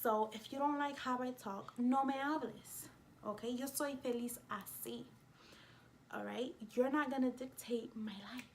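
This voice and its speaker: A woman with a New York accent talking casually to camera as a vlogger, in an emphatic, indignant and expressive way. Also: high voice, a little slow, a little hiss or hum. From United States.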